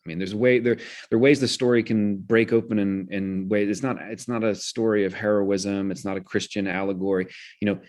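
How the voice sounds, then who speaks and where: A man with a low voice answers in an interview. He is articulate and analytical, building his explanation in measured phrases. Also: fairly even intonation, darkish tone. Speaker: guest, theater director.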